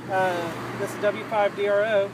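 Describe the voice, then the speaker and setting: A man's voice: high voice, level tone, moderate pace. A man chatting casually over ham radio outdoors. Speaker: amateur radio operator. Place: outdoors in a beachside parking lot. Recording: distant, very noisy outdoor recording.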